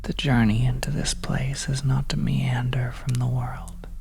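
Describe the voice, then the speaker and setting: A narrator whispers a horror ASMR story in a soft, breathy voice, speaking deliberately and ominously with long pauses. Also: medium pitch, flat delivery, slight background noise.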